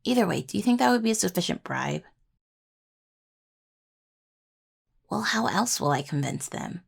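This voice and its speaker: Woman acting a creaky-voiced young necromancer student. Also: medium pitch, very animated, fast, full character voice. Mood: playful, chatty. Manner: hesitant, rambling, sweary. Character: young necromancer healing student, anxious, sweet, affectionate partner. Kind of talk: intimate roleplay audio acting, casual.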